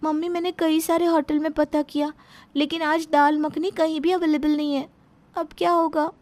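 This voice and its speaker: Woman as young daughter, very high pitch, anxious, apologetic, cartoon family story. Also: little pitch movement, normal speed, a little mellow, lightly acted.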